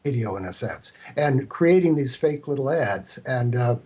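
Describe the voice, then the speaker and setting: An older man with a husky, mid-pitched voice tells stories as an interview guest. His delivery is casual and meandering, with halting stretches as he recalls the past. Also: expressive, warm tone. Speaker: interview guest, radio producer. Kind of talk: casual reminiscing.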